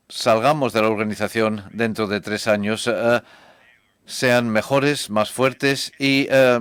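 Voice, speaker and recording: A man giving a measured, reflective conference talk to a hall audience. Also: medium pitch, fairly bright tone, clear, resonant tone. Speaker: conference speaker.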